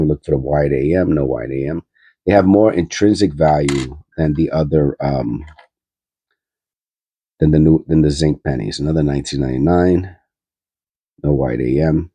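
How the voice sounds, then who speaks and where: A man's voice: rumbling low, moderate pace, dark tone, breathy edge, no echo. A man with a stuffy, nasal cold, hosting his coin-hunting channel and rambling aloud with many fillers as he sorts pennies. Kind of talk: casual coin roll hunting commentary.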